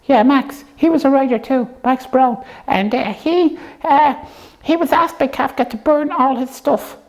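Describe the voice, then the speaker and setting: High voiced lady hosting a little puppet show, chatty, rambles and ums through explanations. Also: measured pace. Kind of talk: casual comic chat.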